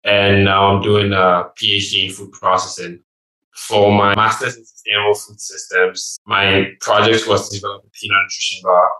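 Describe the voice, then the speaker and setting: A man's voice: on the low side, level tone, normal speed, sharp tone, reverberant, poor audio. A young man from Ghana, a graduate student, introducing himself hesitantly.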